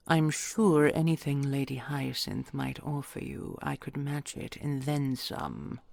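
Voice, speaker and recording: Female actor as ancient dragon queen, tender possessive lover, hushed whispery delivery, thick vocal fry, close dry sound, formal archaic speech, intimate audio drama. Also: a bass voice, no room sound, full character voice. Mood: jealous, satisfied. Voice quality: breathy, whispery. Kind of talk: intimate romantic audio roleplay.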